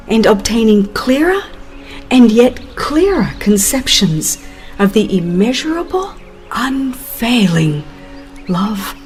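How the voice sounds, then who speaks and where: A woman reading a religious text as a dramatized audiobook, in a measured, reverent and expressive way, drawing out the ends of phrases. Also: mid-range voice. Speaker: audiobook narrator.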